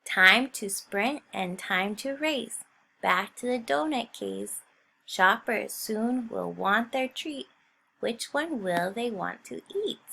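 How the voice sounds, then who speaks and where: A woman reads a children's story aloud like a teacher to her class. Her voice has a strong creak and sits at a middling pitch. She speaks expressively, in an unhurried read-aloud style. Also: animated, pushed and bright, a little hiss or hum, rough recording. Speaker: teacher reading a picture book aloud to children.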